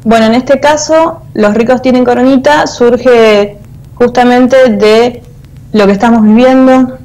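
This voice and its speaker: A woman's voice: on the high side, fairly even intonation, a little slow, darkish tone, rough recording. A woman in her thirties with an Argentine accent, giving conversational interview answers as a guest over a video call. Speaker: guest, theatre artist and teacher. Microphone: earphone mic on a video call.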